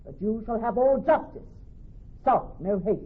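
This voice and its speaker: A woman playing a clever young woman posing as a male judge in classical radio drama, speaking in a measured, formal, authoritative way. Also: on the high side, expressive, slight background noise. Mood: stern, commanding. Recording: thin, narrow-band old radio broadcast.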